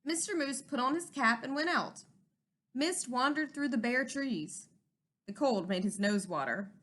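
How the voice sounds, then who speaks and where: A woman reading a children's storybook aloud as a teacher would, in an expressive narrating style. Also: sits high, measured pace.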